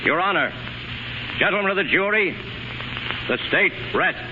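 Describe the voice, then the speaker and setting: An actor playing a stern, formal prosecuting attorney, declaiming to a jury in 1930s radio style. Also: male voice, high-pitched, lively intonation, normal speed, background noise. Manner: oratorical, declamatory. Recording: muffled 1930s radio recording.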